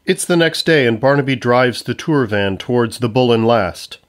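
A man's voice: medium pitch, animated, normal speed. A man reading scripted narration for a comedy story, as its narrator.

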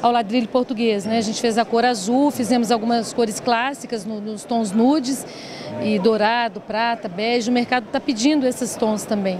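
A Brazilian woman in her forties speaks in a slightly high voice as an interviewee. She talks like a designer presenting her company at a trade fair. A clear crowd bustle sits behind her. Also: fairly even intonation, normal speed. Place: open stand in a large indoor exhibition hall. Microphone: handheld reporter microphone held by interviewer. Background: crowd chatter of a trade fair.

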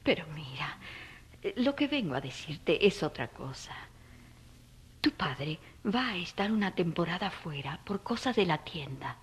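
A woman playing an anguished, protective mother in an audio drama, tender and pleading, with a husky, breathy voice. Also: on the low side, sing-song, measured pace, exaggerated voice. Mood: tender resolve.

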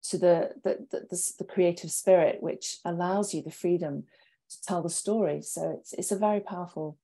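Mellow-voiced middle-aged woman, earnest. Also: lowish voice, fairly even intonation, normal speed. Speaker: panelist. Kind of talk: reflective explanation. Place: indoor room with bookshelves, video call. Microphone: wired earphone mic.

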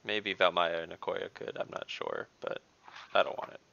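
Player, young man, low gravelly voice, brisk and decisive, muffled call audio. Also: steady tone, pushed and bright. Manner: brisk, takes charge of the party. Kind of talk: casual in-character table talk. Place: home room. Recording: slightly dull video-call audio.

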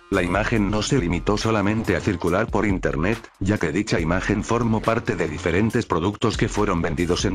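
A man reading scripted narration as the narrator of a countdown video. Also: sits low. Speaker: narrator of a top list video. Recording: music behind the voice. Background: background music.

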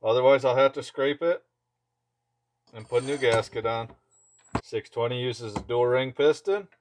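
A man with a mid-pitched voice talks casually through hands-on work in an indoor workshop. He speaks in short, offhand phrases, like a mechanic explaining as he goes. Background noise and small clicks of handled parts sit behind his voice. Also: steady tone, moderate pace. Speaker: chainsaw mechanic and video host.

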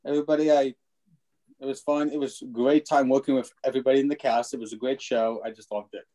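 A man's voice: mid-range voice, little pitch movement, quick pace, warm tone, poor audio. A young man chatting casually as a cast member on a video call. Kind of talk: casual group chat. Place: indoor room on a video call.

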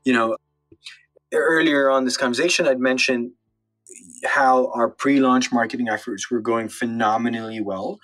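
A man's voice: mid-range voice, fairly even intonation, moderate pace, fairly bright tone, low-quality recording. Young man in a casual interview. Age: late 20s, turning thirty. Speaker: podcast guest, entrepreneur.

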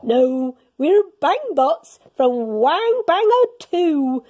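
A woman's voice: high-pitched, sing-song, extremely slow, a little mellow, full character voice. A woman voicing a blunt, menacing, hungry alien drummer from a children's storybook.

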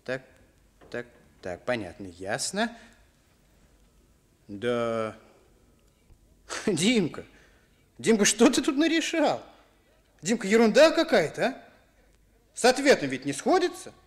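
A man's voice: high voice, huge pitch swings, slow pace, pauses often, heavily acted. An actor playing an earnest, exasperated teenage boy tutor in a Soviet radio play, muttering and scolding. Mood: scolding, amused.

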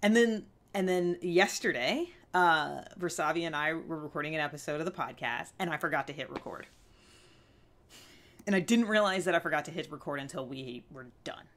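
A woman's voice: low voice, fairly quick, a bit bright. A woman in her thirties giving a candid self-help talk to camera, earnest and emphatic, often checking in with the listener. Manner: earnest, conversational, emphatic with frequent check-in questions. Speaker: vlog host. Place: small indoor room with fabric backdrop.

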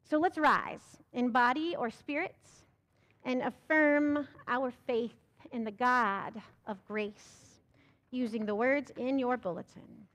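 Young American woman pastor, high voice, emphatic sermon delivery at a pulpit mic. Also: slow, fairly bright tone, big-room sound. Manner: rhetorical questions, emphatic rising delivery. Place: church sanctuary. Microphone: pulpit microphone.

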